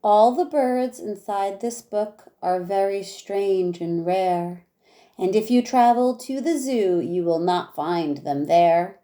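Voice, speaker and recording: Slow-paced woman reading a picture book. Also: mid-range voice, dark tone. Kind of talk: read-aloud storytelling with casual asides.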